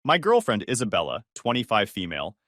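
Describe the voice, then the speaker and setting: A man's voice: fairly low pitch, huge pitch swings, fairly quick, fairly bright tone, no echo, clean studio sound. A man in his twenties narrating his own story in a sarcastic, wry tone. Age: 20s. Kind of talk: scripted first-person story narration.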